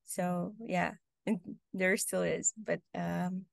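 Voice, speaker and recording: A young woman from Romania with a Romanian accent, answering interview questions casually on a video call, often pausing with ums and restarting sentences. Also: on the low side, steady tone, creaky, no room sound. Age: young adult. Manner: hesitant, many ums and restarts. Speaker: guest. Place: home room, video call.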